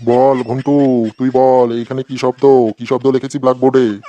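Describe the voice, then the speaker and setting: A man's voice: medium pitch, flat delivery, moderate pace, warm tone, highs cut off, lightly acted. A man playing an irritable, sarcastic schoolteacher who threatens his pupils in a comedy cartoon. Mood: stern, mocking. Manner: scolding, sarcastic. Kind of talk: exaggerated comic cartoon dialogue.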